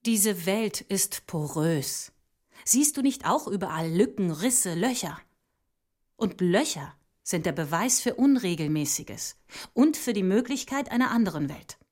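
An actress playing a resolute visionary woman mathematician in a literary radio drama, speaking in a measured, deliberate way. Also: medium pitch, lively intonation, a little mellow. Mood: insistent.

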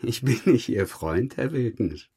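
An actor playing a dogged police detective questioning a suspect in a German crime audio drama, matter-of-fact and dryly pointed. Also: male voice, mid-range voice, expressive, unhurried, mellow, breathy edge. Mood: controlled, pointed. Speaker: police investigator.

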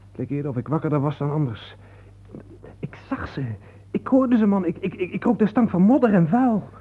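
A man's voice: high-pitched, expressive, brisk, slightly rough. Shaken, blunt man, thin phone-like sound. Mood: agitated, insistent. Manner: agitated, hesitant, colloquial. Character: shaken man woken from a war nightmare, blunt, on edge. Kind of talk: Dutch radio play acting. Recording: thin phone-like old radio play recording.

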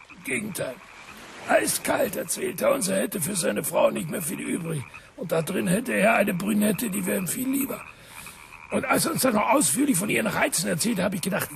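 An actor playing a gruff, chatty old British major who talks in clipped bursts, with a cough, in a radio drama. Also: male voice, high voice, expressive, moderate pace, crowd noise, exaggerated voice. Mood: gossipy, confiding. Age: older. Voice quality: frequent coughing, damaged breath. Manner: clipped telegraphic sentences, military bluffness.

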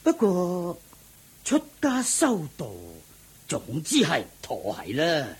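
An actor playing an anxious, doting middle-aged king and father in a Cantonese radio drama, with a Hong Kong accent and a husky voice. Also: a little high, huge pitch swings, slow pace, exaggerated voice. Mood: troubled, sighing.

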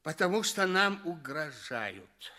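An actor playing a breezy, matter-of-fact alien hospital orderly in an audio play. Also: male voice, on the high side, big pitch swings, slow pace, bright, forceful tone, heavily acted. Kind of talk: theatrical audio play dialogue.

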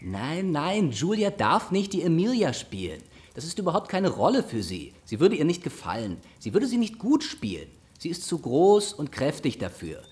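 An actor playing a charming, flirtatious gentleman with a persuasive, theatrical delivery in a German radio drama. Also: on the high side, huge pitch swings, moderate pace, few pauses. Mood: coaxing, pleading.

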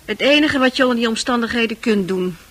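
A woman's voice: a little high, fast talker, a bit bright. An actress in a Dutch radio play, playing a worldly, coaxing woman of easy virtue with a chatty, knowing manner. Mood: consoling. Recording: radio play with music behind.

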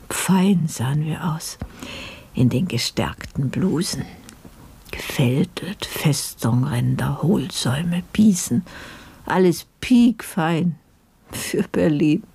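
Grandma telling about her maid days, radio play narration, reflective, lowish, dry sound. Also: mellow, husky, no echo, heavily acted. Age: older. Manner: reflective, vivid storytelling. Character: grandmother recalling her youth as a housemaid.

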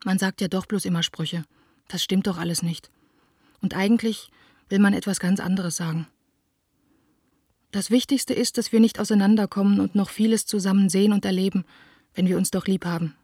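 A woman's voice: medium pitch, fairly even intonation, fairly quick, pauses often, dark tone, husky, no room sound, studio quality. An actress playing a young woman theatre director who reads her letters in a radio drama, reflective, plain-spoken and confiding. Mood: tender, hesitant.

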